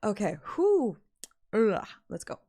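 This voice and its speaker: A woman's voice: mid-range voice, very animated, slow, dark tone. A woman livestreamer chatting casually and playfully, often giggly and interrupting herself as she talks to viewers. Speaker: live streamer and fan reader.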